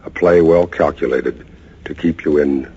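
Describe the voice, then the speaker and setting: A man with a very low, husky voice introduces a vintage radio thriller. He is solemn and portentous, delivering each phrase deliberately. The recording sounds dull and old. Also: dark tone. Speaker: radio announcer and show host. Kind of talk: 1950s radio announcing, solemn scripted introduction.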